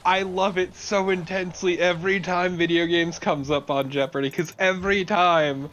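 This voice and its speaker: A man's voice: sits high, fairly even intonation, moderate pace, a bit bright, muffled recording, soft background music. A man in casual co-commentary on a video game, joking emphatically and swearing a lot. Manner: jokey, emphatic, heavy swearing. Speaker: let's play co-commentator. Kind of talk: casual chat over gameplay. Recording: faint background noise.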